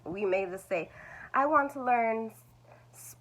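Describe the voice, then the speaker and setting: A woman's voice: on the high side, expressive, slow pace, fairly bright tone, rough recording. A woman, teaching language learners in a reassuring, repetitive way. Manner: reassuring, repeats "it's okay". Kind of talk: talking-head video lesson.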